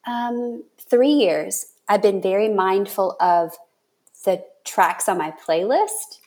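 Female podcast host, medium pitch, casual chat, halting think-aloud delivery. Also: darkish tone.

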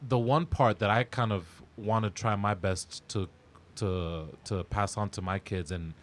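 A man's voice: lowish voice, fairly even intonation, unhurried. A man co-hosting a casual podcast chat, speaking thoughtfully with ums and restarts. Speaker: podcast co-host.

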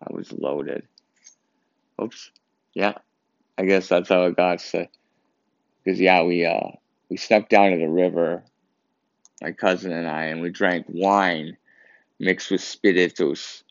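A man's voice: deep voice, fairly even intonation, takes it slowly, pauses often, dry recording, muffled recording. A young Canadian man who wears braces, hosting his own podcast and rambling through a casual story. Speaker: podcast host. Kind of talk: casual solo podcast monologue.

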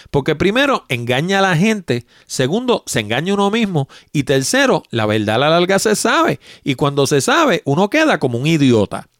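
Older Puerto Rican podcast host, chatty and explanatory, lively delivery, dry close mic. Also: male voice, on the high side, no room sound. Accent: Puerto Rican Spanish. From Puerto Rico. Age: 60s. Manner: chatty, explanatory, plain-spoken. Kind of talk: solo podcast, reading listener mail.

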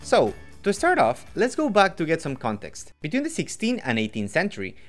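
A man from Ecuador co-hosts a scripted history show, his voice rising and falling a lot as he reads. He drops in joking asides, with soft music playing underneath. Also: fairly high pitch, wildly swooping pitch, brisk. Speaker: podcast co-host.

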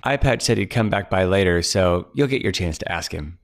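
A man's voice: deep voice, animated, brisk, gravelly. A man voicing a chatty, gossipy young female secretary.

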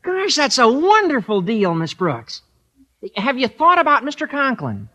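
Radio sitcom schoolboy: earnest, flattering, very animated, piercingly bright tone. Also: male voice, very high voice, unhurried, full character voice. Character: eager, cracking-voiced teenage schoolboy, comically flattering. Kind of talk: 1940s-50s radio comedy acting. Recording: old radio comedy recording.

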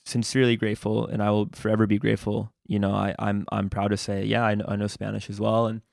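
A man's voice: fairly low pitch, monotone, fast talker, gravelly, darkish tone, dry recording, studio quality. A man hosting a podcast interview, chatting casually and hesitantly with many fillers and restarts. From United States. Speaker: podcast host.

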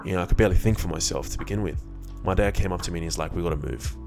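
A man's voice: deep voice, very fast, over music. A young man from Iraq who moved to Australia as a child, talking casually and candidly in a reflective personal monologue with slang and swearing. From born in Iraq, moved to Australia as a child. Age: young adult.

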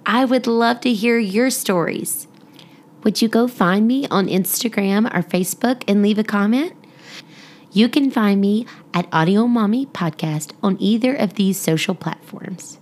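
A woman hosting a children's story podcast, speaking expressively and deliberately as if reading aloud to young listeners. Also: mid-range voice, a little mellow. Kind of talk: children's storybook narration and friendly address to listeners.

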